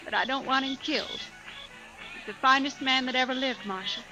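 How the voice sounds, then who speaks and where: An actress playing an earnest, strong-willed American frontier wife in an old radio western, composed and direct. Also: female voice, sits high, fairly quick, pushed and bright, poor audio. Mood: worried, earnest. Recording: old radio broadcast with music behind.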